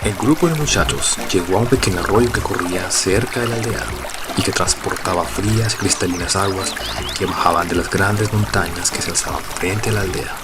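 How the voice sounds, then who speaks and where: Male narrator, very deep voice, measured delivery, scripted storytelling, audio drama, prominent music.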